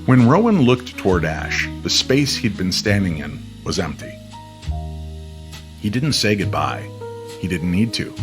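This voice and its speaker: A man narrating an eerie, nostalgic winter-evening style story in a measured, dramatic way. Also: low voice, big pitch swings. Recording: music under the voice.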